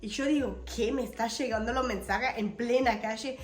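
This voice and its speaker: A woman's voice: fairly high pitch, moderate pace. A young woman in her twenties, speaking touches, chatting and telling a story to her followers. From France. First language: French. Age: 20s. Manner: chatty, animated, many fillers. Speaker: vlogger. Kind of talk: casual storytelling to camera. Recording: echoey room with faint music.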